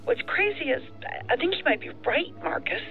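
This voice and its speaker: A woman's voice: very high-pitched, sing-song, normal speed, soft background music, full character voice. A woman playing a tense, stubborn federal agent in an audio drama, exasperated, through a phone filter. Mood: exasperated, defensive. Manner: exasperated, defensive. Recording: tinny, narrow-band phone line.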